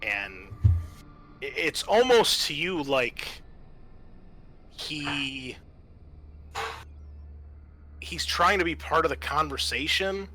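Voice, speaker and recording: A man narrating a tabletop roleplaying game as game master, informal and halting as he gropes for words. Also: on the high side, big pitch swings, music in the background.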